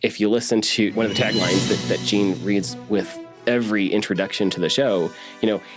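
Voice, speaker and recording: A man's voice: fairly low pitch, fairly even intonation, a little fast, over loud music. A man talking conversationally in an interview as a producer, often checking in with short tag questions.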